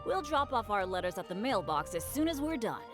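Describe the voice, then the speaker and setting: Woman as an animated, earnest teenage boy adventurer. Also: medium pitch, a little fast, loud music behind the voice, lightly acted. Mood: friendly. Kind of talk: English video game voice acting.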